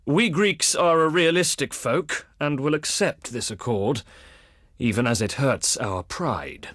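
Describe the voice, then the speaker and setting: An actor playing a proud, cultured, wry ancient Greek diplomat in a video game, speaking in formal, flowery and pompous oratory. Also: male voice, medium pitch, unhurried, fairly bright tone, dry recording, studio quality. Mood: wry, wounded pride. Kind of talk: theatrical game diplomacy voice lines.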